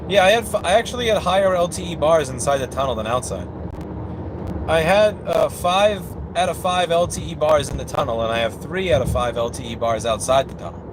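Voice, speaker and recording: A man's voice: fairly high pitch, expressive, a little fast. A man in casual, argumentative conversation, blunt and sweary, talking inside a moving car. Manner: blunt, sweary, argues by analogy. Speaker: repair technician hosting a livestream. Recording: clear road and engine noise in car.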